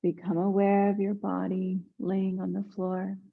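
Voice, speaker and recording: A woman guiding a yoga nidra meditation in a hushed, soothing manner, leaving long pauses between instructions. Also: medium pitch, monotone, creaky, phone-quality sound. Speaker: meditation guide.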